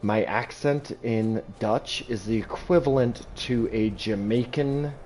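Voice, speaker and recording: Calm-voiced streamer, mellow tone. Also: male voice, medium pitch, slow pace, faint music behind, poor audio. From Southern California. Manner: chatty, reads chat aloud. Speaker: game streamer. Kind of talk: casual gameplay commentary.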